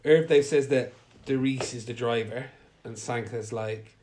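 A man's voice: mid-range voice, measured pace, noisy background. A man with a blocked-up nose from a cold, casually recapping a film plot with many fillers. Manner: recounts plot with many fillers, acts out quotes. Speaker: podcast co-host.

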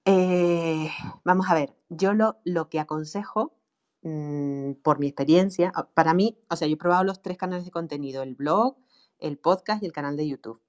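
A woman with a strong Canarian accent and a low-pitched voice. She talks in a relaxed, conversational way, full of fillers and restarts, and laughs easily. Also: moderate pace. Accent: Canarian Spanish. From Canary Islands. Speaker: guest expert on online marketing for writers. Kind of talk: casual conversational talk.

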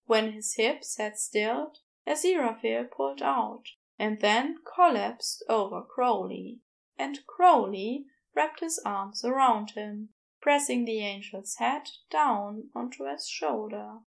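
Female audiobook narrator, higher-pitched with vocal fry, very unhurried story reading. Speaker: fan fiction audiobook reader.

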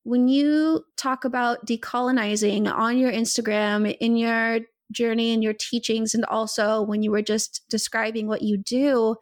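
A woman's voice: a little high, little pitch movement, a little slow, few pauses, no room sound, clean studio sound. A woman hosting a podcast interview, chatty and laughing often as she talks. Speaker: podcast host. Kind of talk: casual interview chat.

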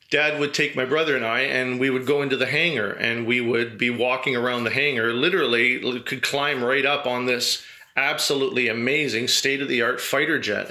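Middle-aged man, interview guest, storytelling, gravelly edge, bright tone. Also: medium pitch. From grew up in Germany, Canadian family. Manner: easygoing storyteller with fillers and chuckles. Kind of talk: relaxed reminiscing conversation.

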